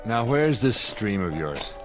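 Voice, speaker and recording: A sober, principled space traveller with a fairly low voice. He speaks earnestly and insistently, with lively rises and falls. A music bed runs clearly beneath the drama. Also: unhurried. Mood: puzzled. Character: earnest, principled spaceman, sober crewmate of a power-mad technician. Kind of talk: dramatic radio-play acting.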